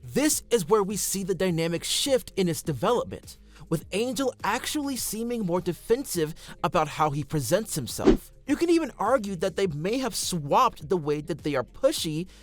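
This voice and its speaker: Lively male video essay narrator. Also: sits high, normal speed, soft background music. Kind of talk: scripted narration, fan commentary.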